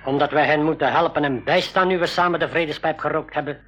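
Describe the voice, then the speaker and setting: A man's voice: on the high side, steady tone, fast talker, a bit bright, close to an everyday voice. An actor playing a solemn, ceremonious medicine man of a jungle tribe in a 1980s radio drama, speaking formally. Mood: solemn, wary. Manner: formal, ceremonious, stilted phrasing. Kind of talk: 1980s Dutch-language school radio drama acting. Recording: dull lo-fi radio recording with music.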